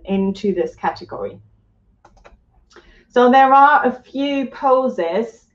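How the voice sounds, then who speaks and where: A woman's voice: medium pitch, echoey room, dull audio. Chatty woman from Germany teaching live. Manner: chatty, reads out viewer comments. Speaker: yoga teacher hosting a live stream. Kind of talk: casual live-stream teaching.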